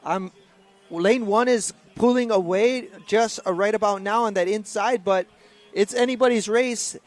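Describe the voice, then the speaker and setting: A man doing live race commentary in a relaxed, hesitant way with many filler words. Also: high voice, a little slow.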